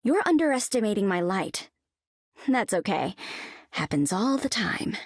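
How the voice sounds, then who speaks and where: An actress playing a confident, breezy young heroine in a video game. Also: female voice, medium pitch, sing-song, measured pace, breathy edge, no echo, studio quality, full character voice. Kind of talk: video game character voice lines.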